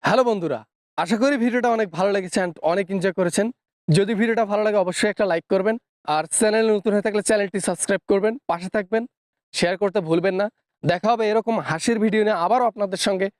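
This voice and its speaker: A man's voice: high voice, rapid-fire, no room sound, clean studio sound. A man speaking as a channel host, delivering a scripted sign-off to viewers.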